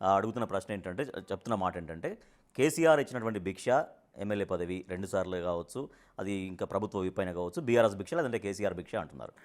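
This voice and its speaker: A man's voice: sits low, rapid-fire. A man working as a TV interviewer, putting probing political questions and rephrasing them as he goes.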